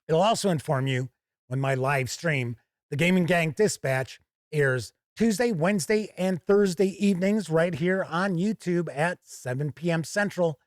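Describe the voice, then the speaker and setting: Man with a slightly high voice, reviewing. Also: no room sound, studio quality. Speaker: host of a tabletop game review channel. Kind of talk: conversational video review to camera.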